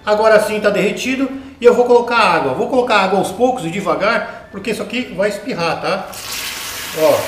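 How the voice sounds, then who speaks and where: A man's voice: high-pitched, fairly quick. A middle-aged man demonstrating a recipe step by step in his home kitchen, explaining patiently and checking in with the viewer as he works. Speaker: home cooking show host. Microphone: camera mounted overhead. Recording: echoey kitchen with light background noise.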